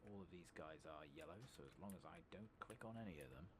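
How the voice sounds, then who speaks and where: A man doing soft-spoken ASMR game commentary, murmuring casually to himself, swearing mildly and slipping in and out of whispers. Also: sits low, expressive, fast. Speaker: gaming streamer. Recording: clearly noisy background with clicking.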